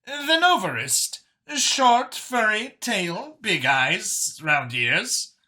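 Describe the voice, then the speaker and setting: Guy doing a commanding alien chairwoman for a sci-fi audiobook, really bright, swings up and down, formal and measured. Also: high-pitched, big pitch swings, pitched above the performer's own voice, exaggerated voice. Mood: brisk, pleased. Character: composed, commanding female alien council chair.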